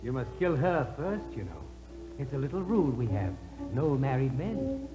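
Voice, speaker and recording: A man's voice: medium pitch, huge pitch swings, normal speed. An actor playing a taunting male ghost who hosts an eerie party and coaxes a guest toward murder, in a mocking, sing-song voice. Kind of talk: 1950s radio horror acting. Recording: echoey hall with loud music.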